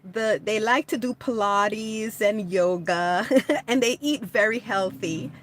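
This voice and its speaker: Slow-talking chatty woman livestreaming. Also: mid-range voice. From Jamaica. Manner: chatty, laughs often, answers live comments. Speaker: livestream host. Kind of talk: casual chat answering viewers. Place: home in California.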